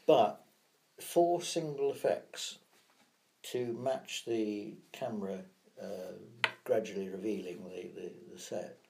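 An older man, a sound effects veteran, telling measured, reflective stories from his working years in an interview. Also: fairly low pitch, gravelly, mellow. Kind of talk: anecdotal interview storytelling.

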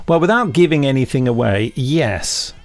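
Male podcast host, British, mid-pitched with some fry, hesitant joking delivery in casual chat. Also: expressive, normal speed, faint music behind. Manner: jokey, hesitant, rambling answers.

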